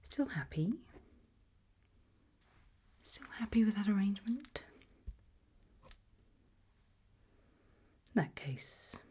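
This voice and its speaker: A British woman playing a composed, probing, ominous interrogator in a whispered, breathy ASMR roleplay, questioning deliberately. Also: low-pitched, dark tone, exaggerated voice. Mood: wry, menacing. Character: composed, probing female interrogator of possessed people at a sinister institute.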